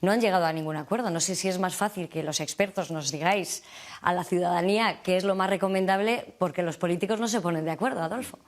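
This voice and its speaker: A woman's voice: on the low side, fast. A woman hosting a television interview, asking long questions with some hesitations. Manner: chatty, winding questions with hesitations. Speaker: TV interviewer host.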